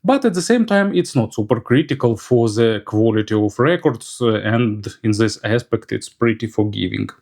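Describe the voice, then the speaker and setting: A man's voice: mid-range voice, expressive, normal speed, creaky, hardly any pauses, a little mellow. Man reviewing audio gear, hesitant phrasing. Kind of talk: product review commentary.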